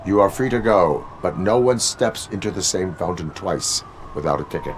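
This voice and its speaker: An actor playing a stoic cyborg police officer in a video game, formal and deadpan, speaking in stiff moral maxims. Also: male voice, sits low, normal speed, a little hiss or hum. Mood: stern, even. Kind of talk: video game cutscene acting.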